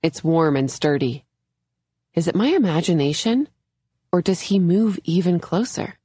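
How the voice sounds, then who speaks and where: Low-voiced woman narrating an audiobook. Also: expressive, a little slow, warm tone, husky, no room sound. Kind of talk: scripted first-person thriller audiobook narration.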